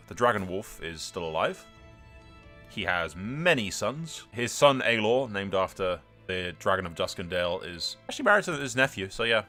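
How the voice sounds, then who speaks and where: A man's voice: on the low side, pushed and bright. A man giving casual, informal commentary on a game playthrough, with wry asides. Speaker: host of a gaming channel.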